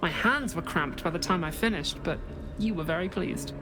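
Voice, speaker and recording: A woman's voice: on the low side, normal speed, close to an everyday voice, low-quality recording. Woman as amiable game character over music. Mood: friendly. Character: fussy, amiable attendant chatting about a new look. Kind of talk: video game dialogue.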